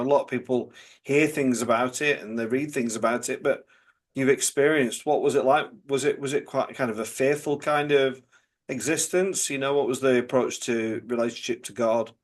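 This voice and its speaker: A man's voice: medium pitch, moderate pace. A man, a priest hosting a podcast, who asks his guest questions in a friendly, inviting way. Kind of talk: interview hosting.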